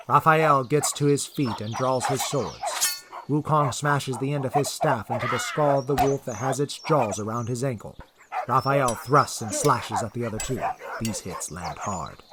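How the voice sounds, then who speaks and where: A man reads scripted fantasy narration in a medium-pitched voice. The recording has loud noise behind him throughout.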